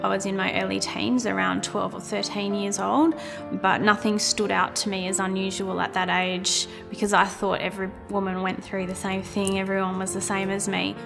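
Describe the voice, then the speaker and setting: Woman in her thirties, gravelly voice, calm testimony over music. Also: medium pitch, normal speed. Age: 30s. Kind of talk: personal testimony interview. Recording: roomy reverb with music.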